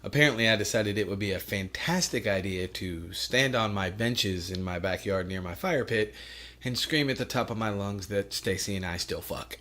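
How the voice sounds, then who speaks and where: Low-voiced profane joking man in his 40s. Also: normal speed, pushed and bright. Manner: profane, joking, self-deprecating. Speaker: podcast host. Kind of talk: casual chat.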